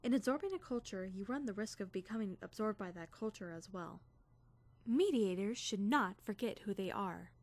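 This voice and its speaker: A woman's voice: medium pitch, lively intonation, moderate pace, darkish tone. A woman voicing an earnest, indignant teenage girl student who is rigidly rule-bound, speaking formally and emphatically in a dramatic visual novel fan dub. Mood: resolute. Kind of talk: dramatic first-person visual novel narration and dialogue.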